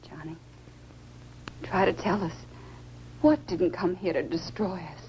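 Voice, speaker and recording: An actress playing a composed, concerned scientist in the style of a 1950s science fiction film. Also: female voice, on the low side, measured pace, darkish tone, slight background noise, natural voice. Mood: composed, serious. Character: concerned, composed female scientist tending a crashed astronaut. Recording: dull old film soundtrack, faint music.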